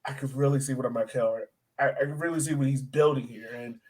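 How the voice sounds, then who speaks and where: A man's voice: medium pitch, fairly even intonation, quick pace, a little mellow, slightly rough. A man co-hosting a sports podcast, talking casually about soccer in a slangy, emphatic way and repeating phrases.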